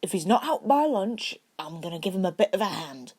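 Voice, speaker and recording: A woman voicing a big, gruff, soft-hearted man in an audiobook story, speaking fondly with dropped word endings. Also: mid-range voice, sing-song, fairly quick. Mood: gruff, assured.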